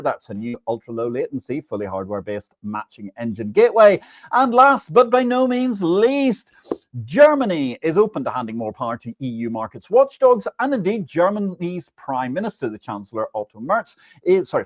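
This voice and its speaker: A man's voice: fairly high pitch, dry recording. A man hosting a live stream, delivering news and interview questions in an emphatic, grandiose, theatrical manner. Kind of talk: animated scripted news monologue and guest interview.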